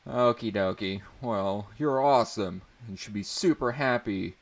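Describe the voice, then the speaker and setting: A man voicing a short, scrawny, excitable teenage boy with a dorky, eager manner in a story reading. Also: on the low side, measured pace, pitched above the performer's own voice. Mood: earnest, encouraging. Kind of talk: dramatic audiobook reading.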